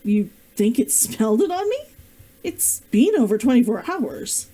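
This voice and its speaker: A woman's voice: fairly high pitch, big pitch swings, a little slow, dark tone. A woman voicing a bold, yearning young heroine in a fantasy romance storybook, forthright and questioning.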